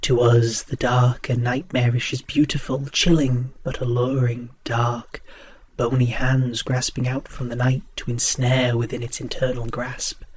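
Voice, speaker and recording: Actor as a very slow, sinister male radio host. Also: mid-range voice, completely flat delivery, a little hiss or hum, natural voice. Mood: ominous, grandiose. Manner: grandiose, deliberate, formally polite. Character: sinister, courtly male host of a ghostly horror radio show. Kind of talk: theatrical horror radio acting.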